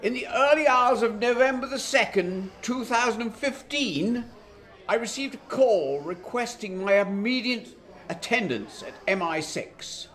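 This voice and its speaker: A man's voice: extremely high pitch, expressive, fairly bright tone, exaggerated voice. A man playing a defensive Prime Minister giving a formal, grave, emphatic statement in a radio drama. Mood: grave, insistent. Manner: formal, measured, emphatic oratory.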